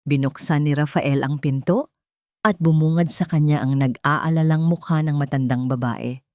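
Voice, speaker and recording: Low-pitched Filipino woman narrating drama. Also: fairly even intonation, normal speed, mellow, dry recording, clean studio sound. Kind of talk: scripted dramatic story narration.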